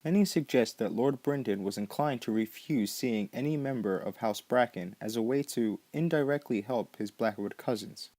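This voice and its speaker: A man's voice: medium pitch, moderate pace, few pauses, warm tone, no room sound. A man hosting a podcast, giving scripted but conversational explanatory commentary. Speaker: podcast host.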